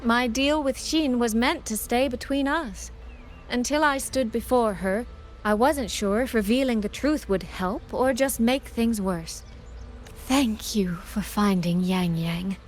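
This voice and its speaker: A woman playing a composed, secretive young elder sister in a video game, speaking in a measured, playfully teasing way. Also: on the high side, slight background noise, close to an everyday voice. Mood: calm, sincere. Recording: music behind the voice.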